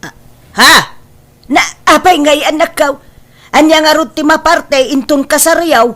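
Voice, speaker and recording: A woman's voice: high-pitched, measured pace, fairly bright tone. A woman playing a doting mother of a grown son, emphatic and exclamatory in an Ilocano comedy drama. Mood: shocked, scolding. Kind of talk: Ilocano radio-style comedy drama acting.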